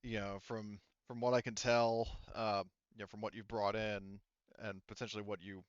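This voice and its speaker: Man voicing a low, measured businesslike space-game contact. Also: little pitch movement, clean tone, no room sound, highs cut off, natural voice.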